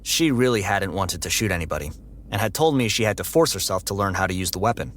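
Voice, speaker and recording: An actor playing a guarded, socially averse security android who tells its story in a dry, sarcastic, deadpan way. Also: male voice, deep voice, fast, a little hiss or hum. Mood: dry, matter-of-fact. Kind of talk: first-person audiobook narration and dialogue.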